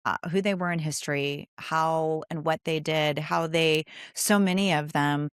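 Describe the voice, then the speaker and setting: A woman's voice: low voice, steady tone, unhurried, clean tone, dry recording, studio quality. A woman hosting a podcast interview, speaking conversationally in an earnest, effusive way. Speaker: podcast host.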